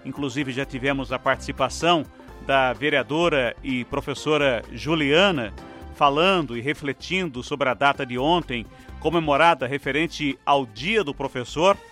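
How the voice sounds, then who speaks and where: A man's voice: medium pitch, moderate pace, a bit bright, faint music behind. A Brazilian man hosting a live radio news interview in a formal announcer cadence. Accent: Brazilian Portuguese. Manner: formal announcer cadence, long flowing sentences. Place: radio studio.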